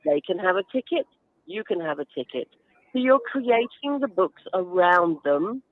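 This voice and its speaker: A woman's voice: on the low side, very animated, moderate pace, dry recording, narrow, tinny audio. A woman giving explanatory interview answers as a guest, instructive and emphatic, with everyday examples. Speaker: guest author and reading advocate.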